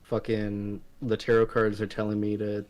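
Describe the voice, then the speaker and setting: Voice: medium pitch, monotone, moderate pace, a little mellow. A guest getting a tarot reading, chatting casually in internet slang with wry, joking remarks.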